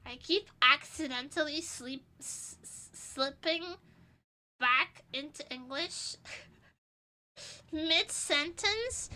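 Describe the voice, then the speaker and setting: Giggly tipsy high-voiced woman streamer. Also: bright, forceful tone. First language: Portuguese. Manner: giggly, rambling, crude jokes. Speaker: vtuber streamer. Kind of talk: casual stream chat.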